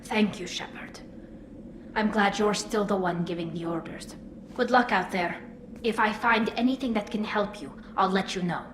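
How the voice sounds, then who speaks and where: A woman's voice: medium pitch, moderate pace, a little hiss or hum, natural voice. A woman as an echoey, earnest young alien engineer. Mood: grateful. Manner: pleading but firm. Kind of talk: video game dialogue. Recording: echoey, with loud background ambience.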